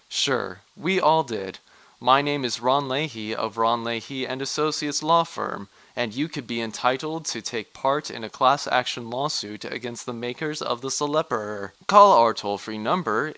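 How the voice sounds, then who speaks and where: A man playing an earnest, solemn injury-lawyer pitchman in a parody advertisement. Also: pushed and bright, no echo.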